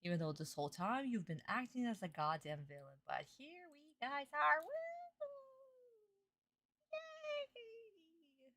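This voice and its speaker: A woman's voice: high-pitched, sing-song, slow, pauses often, fairly bright tone, no room sound. A young woman streaming a live reaction, talking in an exasperated, sarcastic way with plenty of swearing.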